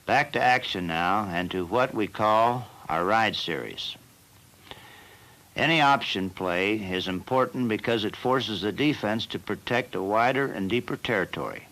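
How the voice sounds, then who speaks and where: A man narrating a football training film as the team's coach, in a measured and plain instructional manner. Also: on the low side, sharp tone, rough recording.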